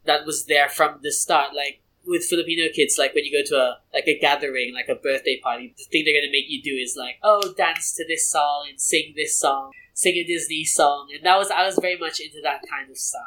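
A young man from Brunei talks about himself in an easygoing interview, lively and digressive. His voice is bright and a little high-pitched. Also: brisk, hardly any pauses, rough recording. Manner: chatty and animated, with many restarts and asides. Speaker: interview guest. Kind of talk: casual conversational interview.

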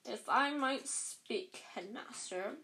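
A cold male teacher drawls slow, quiet questions with a sneer, as a woman reads him aloud. It is a storybook read aloud. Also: a little high, slight background noise, full character voice.

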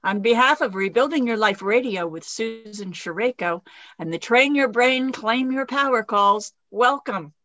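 A woman hosting a radio interview show, delivering formal, deliberate introductions and questions. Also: a little high, expressive, a bit bright, no room sound. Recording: dull, dry studio sound.

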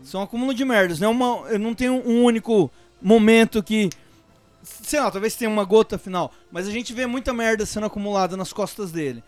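Fast, high-voiced, slangy Brazilian man. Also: no room sound, faint music behind. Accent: Brazilian Portuguese. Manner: animated storytelling, swears freely. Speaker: panelist in a film discussion. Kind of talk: casual, slangy group chat.

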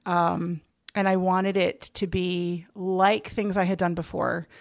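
Enthusiastic woman with a creaky voice. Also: fairly low pitch, level tone, slow pace, no echo, clean studio sound. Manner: enthusiastic, emphatic, repeats herself for stress. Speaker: guest, organizer of a play-reading group; actor. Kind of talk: casual podcast-style interview chat.

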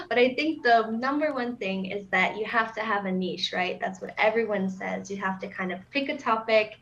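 A woman's voice: medium pitch, a little hiss or hum. Laid-back American woman on a dull call line. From United States. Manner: relaxed, laughs easily, says "like" and "you know" a lot. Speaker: content creator guest. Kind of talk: casual podcast interview.

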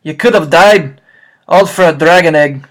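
A man voicing a big, sobbing, bearded male giant, loud and rough, while reading a book aloud with a friend. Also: fairly high pitch, moderate pace, lightly acted. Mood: sobbing remorse. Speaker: co-reader. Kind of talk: casual read-aloud.

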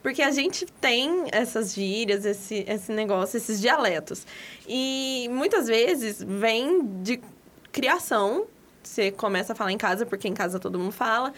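A woman's voice: on the high side, lively intonation, unhurried, a bit bright. A woman from Minas Gerais with a Minas Gerais accent, chatting casually and reflectively among friends. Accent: Minas Gerais Brazilian Portuguese. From Minas Gerais, Brazil. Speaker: conversation participant.

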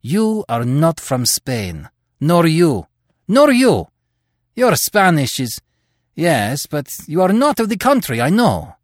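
A man's voice: mid-range voice, very animated, measured pace, few pauses, dry recording, clean studio sound. A man voicing an angry, suspicious young Spanish recruit who demands answers passionately. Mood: agitated. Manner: passionate, shouting and pleading.

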